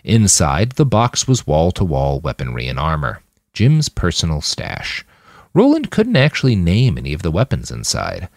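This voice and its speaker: Man, scripted audiobook narration, deep pitch, highly lively inflection, dry sound. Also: moderate pace, no echo. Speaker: author reading his own fiction podcast.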